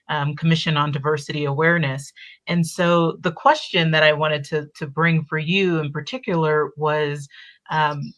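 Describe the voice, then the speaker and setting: A woman's voice: low voice, a bit bright. A woman facilitating a community panel discussion, thanking a guest and asking a long, carefully worded question. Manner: measured, careful, searching for words.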